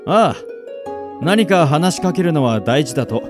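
男性が演じる表情豊かな人工知能の世話役. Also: male voice, a little high, very animated, measured pace. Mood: calm, reflective. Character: long-lived male artificial caretaker, calm and devoted. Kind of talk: radio drama acting. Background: music.

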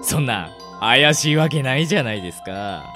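A man's voice: medium pitch, lively intonation, normal speed, over music, lightly acted. A man playing a polite but pushy street fortune teller in an amateur radio drama, coaxing with courteous patter.

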